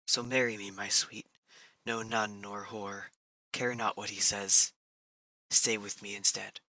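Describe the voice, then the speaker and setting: An actor playing a devoted, pleading lover in a Shakespearean-style monologue, speaking earnestly and formally. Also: male voice, lowish voice, flat delivery, measured pace, sharp tone, no echo, exaggerated voice, low-quality recording. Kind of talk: poetic dramatic monologue.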